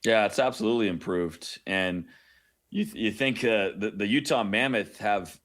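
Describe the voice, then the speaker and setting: A man speaks as a guest on sports radio. His voice is on the low side, and he gives casual commentary as a play-by-play announcer would in an interview. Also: moderate pace, fairly bright tone.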